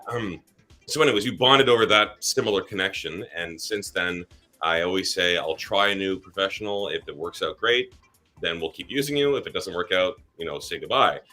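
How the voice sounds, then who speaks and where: A man's voice: deep voice, normal speed, sharp tone, faint music behind. A man who grew up in Germany, hosting a live stream as a realtor, chatting in a rambling way with many fillers. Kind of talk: casual livestream chat.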